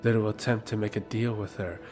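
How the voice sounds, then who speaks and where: A man acts out a defiant courtly advisor in a fantasy audio drama. The voice sits fairly low, formal and pointed with a sardonic edge, over faint music. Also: fairly even intonation, brisk, a little mellow, natural voice.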